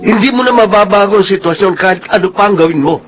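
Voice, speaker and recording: A young Filipino boyfriend, exasperated yet level-headed. His voice is very high for a man, lecturing in radio drama style over faint noise. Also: fast talker, a bit bright. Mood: reasoning.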